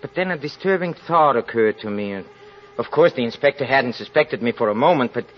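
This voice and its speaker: An actor playing a proud, guilt-ridden, impoverished young writer in an old-time radio drama, speaking haltingly and tensely. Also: male voice, medium pitch, expressive, close to an everyday voice. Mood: brooding, agitated. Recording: dull, lo-fi old radio recording.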